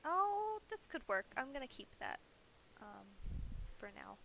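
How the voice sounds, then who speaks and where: A woman with a bright, fairly high voice chats casually while gaming on a stream. She teases her co-player playfully and swears freely. There is faint noise behind her. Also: very animated, measured pace, creaky, low-quality recording. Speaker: livestreamer playing an online game. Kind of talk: casual gameplay chat with a co-player.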